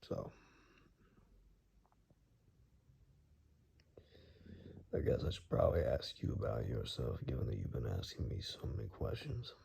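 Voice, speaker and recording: A man playing a wary, blunt woodsman guide in a hushed ASMR roleplay, speaking in a husky, halting, matter-of-fact near-whisper. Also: a bass voice, huge pitch swings, slight background noise, full character voice. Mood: cautious, frank. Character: wary, blunt male woodsman guide who knows monsters.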